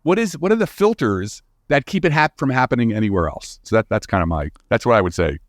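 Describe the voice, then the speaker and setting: A man's voice: mid-range voice, animated, fast talker, dry recording, studio quality. A man speaking as an expert guest in an interview, explaining in a casual, emphatic, informal way and often checking in with "right?". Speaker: guest expert, astrophysicist and author.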